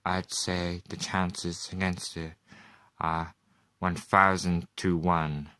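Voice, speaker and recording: A man playing a sceptical astronomy professor in a radio play, speaking in a slow, deliberate and dismissive way. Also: low voice, level tone, pushed and bright, clear, resonant tone, dry recording, pitched below the performer's own voice, full character voice. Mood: measured, sceptical. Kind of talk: 1930s radio drama acting.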